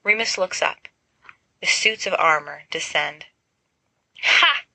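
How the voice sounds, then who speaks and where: A woman reading fan fiction aloud as an expressive amateur audiobook narrator. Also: sits low, a little slow, gravelly, no room sound, low-quality recording. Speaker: volunteer audiobook reader.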